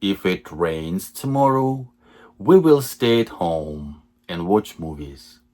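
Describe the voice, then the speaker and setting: A man teaching English, reading simple example sentences slowly and repeating each one for learners. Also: deep voice, sing-song, darkish tone. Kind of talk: slow lesson reading example sentences twice.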